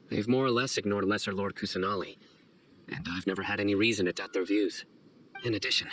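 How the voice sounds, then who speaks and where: A man playing a stern, guarded young investigator in a video game, speaking in a formal, measured and deliberate way. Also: on the low side, fairly bright tone, a little hiss or hum, natural voice, low-quality recording. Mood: skeptical, measured. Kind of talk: scripted video game dialogue.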